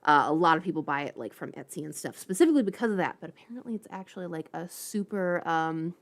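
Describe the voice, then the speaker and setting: A woman's voice: low-pitched, lively intonation, quick pace. A woman hosting a livestream, chatting casually and playfully with informal asides. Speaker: livestream host.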